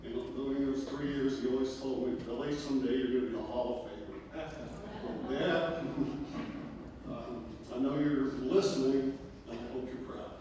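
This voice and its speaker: A man giving a personal acceptance speech as an award inductee, halting and pausing often. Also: medium pitch, steady tone. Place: large echoing hall at a ceremony. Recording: echoey hall from far, clear background noise.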